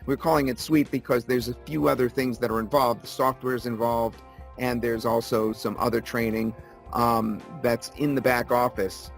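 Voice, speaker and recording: A man of ordinary pitch talks as a guest in an interview, selling his product with steady persuasion. He circles back to the key details to drive them home. Faint music plays behind him. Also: moderate pace, vocal fry.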